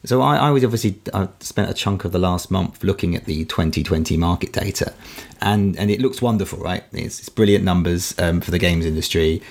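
A man with a croaky voice talking through industry data on a podcast panel, often restarting his sentences as he thinks aloud. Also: sits low, fairly quick. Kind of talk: casual podcast discussion.

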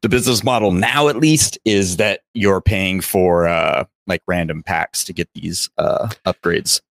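A man with a lowish voice trades casual banter as a podcast co-host. The recording is dry and close, with no audible room. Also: a little slow, fairly bright tone, no echo.

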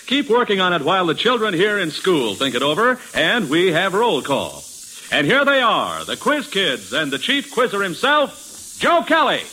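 A man's voice: high voice, wildly swooping pitch, normal speed. A man with an American accent announcing a sponsor and show in 1940s radio style. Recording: noisy, hissy 1940s radio broadcast.

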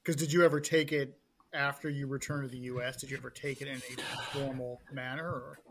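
Gravelly man asking questions. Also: mid-range voice, level tone, a crowd in the background. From Midwest, United States. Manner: friendly, brief affirmations, halting questions. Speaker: guest host, interviewer. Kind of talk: casual interview chat.

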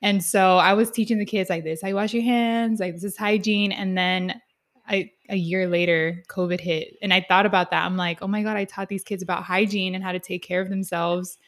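A woman's voice: mid-range voice, steady tone, fairly quick. A woman host from San Diego in a casual interview, chatty and laughing through her own anecdotes. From San Diego, USA. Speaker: podcast host.

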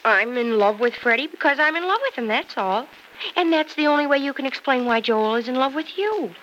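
A woman's voice: high-pitched, animated, fairly quick, a bit bright. An actress playing an earnest, devoted young woman in an old-time radio drama, speaking emphatically. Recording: noisy vintage radio recording.

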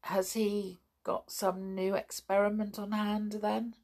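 A woman's voice: medium pitch, level tone, takes it slowly, darkish tone. A woman reading as a polite, secretive boy. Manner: polite and inquisitive.

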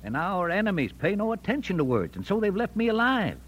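Folksy, animated crusading newspaperman, old noisy recording. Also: sits high, brisk. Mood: wry, resolute. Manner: folksy, easygoing, drawling old-West turns of phrase. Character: amiable, eccentric, stubborn crusading newspaperman in 1850s San Francisco. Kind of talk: 1940s American radio drama acting.

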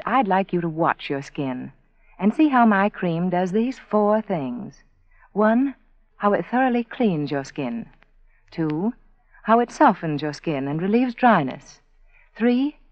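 A woman giving a scripted radio advertisement as the sponsor, measured and persuasive, speaking to listeners. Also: lowish voice, no echo. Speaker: sponsor spokeswoman. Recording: dry, dull 1940s radio broadcast.

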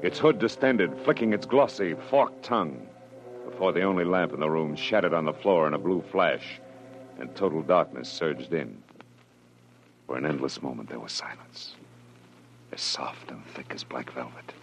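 An actor playing a tough, wisecracking private detective in a classic radio drama, hardboiled, slangy and commanding. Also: male voice, a bass voice, normal speed, low-quality recording. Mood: suspenseful narration. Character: tough, wisecracking male private detective, cool under danger. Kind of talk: classic radio drama acting, hardboiled first-person narration. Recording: old radio drama recording with music.

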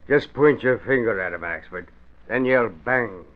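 An actor playing a gruff, bossy police officer giving curt orders in 1940s radio style. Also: male voice, mid-range voice, lively intonation, a little slow, lightly acted. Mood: irritated. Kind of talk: 1940s radio drama acting. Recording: muffled vintage radio with hiss.

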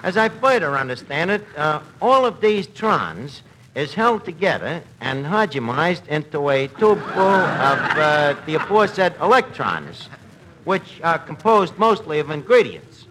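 Cocky bumbling wise guy, high-pitched, noisy recording. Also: very animated, measured pace. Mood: self-important. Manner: mangles grammar and big words with self-importance. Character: cocky, bumbling wise-guy man playing amateur detective. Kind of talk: 1940s radio comedy acting before an audience. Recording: noisy old radio broadcast recording.

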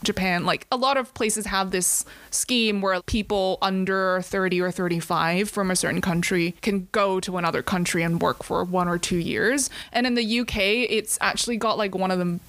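A young woman from Hong Kong chats easily, leaning on fillers and small laughs as she goes. Her voice sits at an ordinary middle pitch and is recorded close with a dry, unechoing sound. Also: steady tone, normal speed, hardly any pauses, fairly bright tone, no room sound. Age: young adult. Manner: chatty, many fillers, laughs often. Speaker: guest, TV business affairs worker. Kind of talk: casual interview chat.

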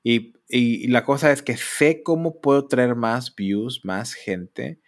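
A man in his thirties with a Mexican accent, hosting a solo podcast and speaking candidly in a rambling way. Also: slow. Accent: Mexican Spanish. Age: 30s. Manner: candid, rambling, mocks others in exaggerated high voices. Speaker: podcast host.

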